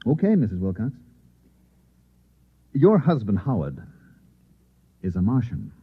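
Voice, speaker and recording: A man's voice: lowish voice, wildly swooping pitch, measured pace, husky, full character voice. An actor playing an insistent, earnest newspaperman in an old-time radio drama, with urgent, clipped delivery. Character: insistent, earnest newspaperman narrating his strange night. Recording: muffled, narrow-band old radio recording.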